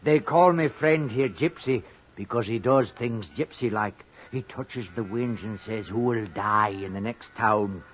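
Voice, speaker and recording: An actor playing a coaxing, scheming rural thief with a husky voice in a 1950s radio drama. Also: male voice, fairly low pitch, unhurried. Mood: coaxing, jovial. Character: smooth-talking, scheming rural English thief. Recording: muffled old 1950s radio recording.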